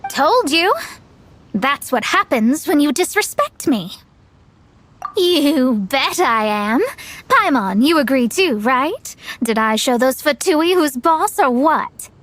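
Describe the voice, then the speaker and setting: A woman's voice: sits high, big pitch swings, slow, fairly bright tone, full character voice. A woman voicing a proud, hot-tempered, self-assured young astrologer in an anime video game, with a dramatic delivery. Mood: boastful. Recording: game music behind. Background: game music.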